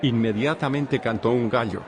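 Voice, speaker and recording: A man narrating a dramatized scripture reading in a steady, formal storytelling manner. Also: mid-range voice, moderate pace, very noisy.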